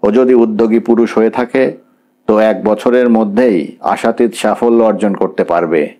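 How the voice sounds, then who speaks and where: A man voicing a pragmatic, class-conscious middle-aged father, measured and businesslike, mixing in English words. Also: lowish voice, warm tone, close to an everyday voice. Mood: pragmatic, negotiating.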